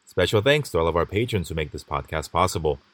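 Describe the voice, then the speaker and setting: A man hosting a podcast, reading scripted intros and asking interview questions in a polite, measured way. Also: low voice, expressive, no room sound. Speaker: podcast host.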